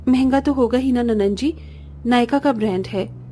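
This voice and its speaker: A woman's voice: a little high, moderate pace, dark tone, breathy edge. A woman playing a young bride in a cartoon family drama who is polite on the surface and resentful underneath. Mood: politely reluctant.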